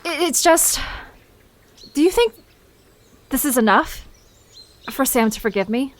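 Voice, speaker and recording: A woman's voice: high voice, lively intonation, a little slow. A woman playing an earnest, anxious, inquisitive young woman in an audio drama, with a hesitant manner. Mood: nervous.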